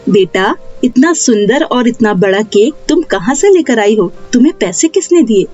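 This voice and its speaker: A woman's voice: fairly high pitch, animated, normal speed, a little mellow, lo-fi sound, faint music behind. A woman voicing a practical, worried mother in a children's story, in a plain motherly manner. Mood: suspicious.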